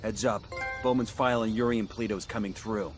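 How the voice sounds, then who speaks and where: An actor playing a resolute special forces squad leader in a video game, clipped and businesslike. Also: male voice, fairly low pitch, steady tone, fast, lightly acted. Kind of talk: video game military dialogue. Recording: game music behind.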